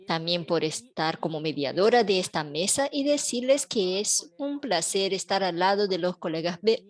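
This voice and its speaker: A woman interpreting a panel talk in a measured, even way over a video call. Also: medium pitch. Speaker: simultaneous interpreter.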